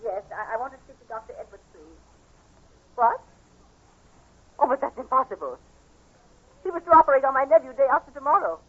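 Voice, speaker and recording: An actress playing a concerned, firm middle-aged aunt, earnest and melodramatic in a 1940s radio play. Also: female voice, sits high, lively intonation, a little fast, long pauses, fairly bright tone, slightly rough, exaggerated voice. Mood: shocked, polite. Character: Aunt Sally, concerned, firm guardian aunt of blind nephew. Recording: dull, noisy 1940s radio recording.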